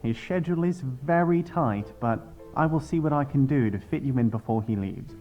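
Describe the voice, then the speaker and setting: A man acting as a snippy clerical assistant in an adventure game. His voice is medium-pitched, dry and officious, with cool sarcasm, and the sound is slightly dull. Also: lively intonation, moderate pace, faint music behind, close to an everyday voice. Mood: coolly officious. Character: snippy, officious male assistant to a church dean.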